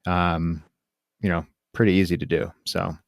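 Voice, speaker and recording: An American man co-hosting a podcast, speaking casually in measured, slightly hesitant phrases. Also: low voice, flat delivery, vocal fry, no room sound, clean studio sound. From United States. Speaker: podcast co-host. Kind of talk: casual conversational.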